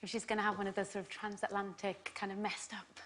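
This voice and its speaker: A young woman with a Welsh accent, chatting as a guest on a talk show, laughing easily and filling pauses with ums. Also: medium pitch, level tone, a bit bright. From Wales. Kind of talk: casual chat. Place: TV studio with audience.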